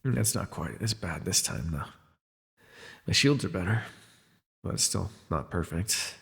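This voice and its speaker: A man playing a jaded, wry imprisoned telepath supervillain in an ASMR audio roleplay, speaking in a sardonic, weary, gravelly way with sighs. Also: low voice, lively intonation, measured pace, a bit bright, big-room sound, exaggerated voice. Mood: dry, resigned.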